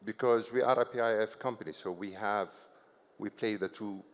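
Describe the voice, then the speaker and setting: Panel speaker, man, lowish voice, halting delivery, long hall reverb. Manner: hesitant, many fillers and restarts, says "okay" often. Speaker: investment company chief executive. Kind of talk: panel discussion answer. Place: conference hall on stage.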